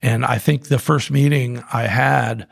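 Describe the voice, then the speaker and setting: A man's voice: mid-range voice, fairly even intonation, a little slow, vocal fry, husky, no echo, clean studio sound. A middle-aged man telling his story in a casual, rambling way, full of restarts and fillers. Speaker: guest, coffee company co-founder.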